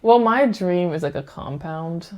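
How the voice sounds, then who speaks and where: A woman's voice: on the low side, expressive, measured pace, a little mellow. A young woman, a daughter co-hosting a family podcast, chatting casually and hedging with fillers. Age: young adult.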